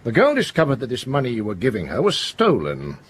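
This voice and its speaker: An actor playing a stern, righteous middle-aged police inspector in a radio drama, speaking with deliberate, commanding, moralising authority. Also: male voice, mid-range voice, wildly swooping pitch, breathy edge. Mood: probing, firm.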